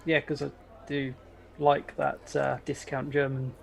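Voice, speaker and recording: A man co-hosting a podcast in casual chat, with a halting, dry way of talking. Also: medium pitch, monotone, gravelly. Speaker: podcast co-host.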